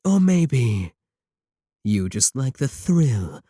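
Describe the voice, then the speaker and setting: Man acting as a slow, husky, seductive evil warlock. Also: mid-range voice, big pitch swings, warm tone, no room sound, studio quality, exaggerated voice. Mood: seductive, menacing. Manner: slow, teasing, deliberate, with drawn-out phrasing. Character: seductive, possessive, manipulative evil male warlock. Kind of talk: intimate romantic audio roleplay.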